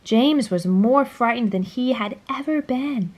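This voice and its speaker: A woman reading a children's story aloud in a dramatic, deliberate storytelling manner. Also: on the high side. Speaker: storybook reader. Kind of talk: scripted children's story read-aloud, expressive.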